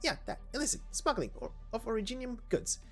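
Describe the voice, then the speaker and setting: A woman plays a cheeky young female covert helper in a game story, pitched high and swinging freely in tone. She talks in breezy slang and trips casually over bigger words. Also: very animated, quick pace, fairly bright tone, faint music behind. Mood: explaining, casual. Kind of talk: voiced game story reading.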